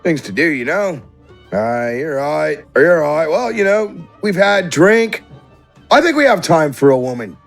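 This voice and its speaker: A man's voice: fairly high pitch, lively intonation, a little slow, gravelly. A man acts out an easygoing brigand in a fantasy tavern game. He talks in a casual, boastful way like a drinker with time to spare, over faint music. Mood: idle, scheming. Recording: music behind the voice.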